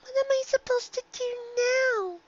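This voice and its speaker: A man's voice: very high-pitched, unhurried, highs cut off, pitched above the performer's own voice. A man voicing an earnest little unicorn filly with a hesitant, imploring manner in a storybook reading. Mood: distressed.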